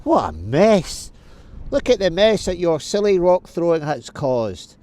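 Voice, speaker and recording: A man's voice: a little high, very animated, a little slow, dark tone, pitched above the performer's own voice. A man voicing a defiant noblewoman commanding a castle, scoffing and teasing.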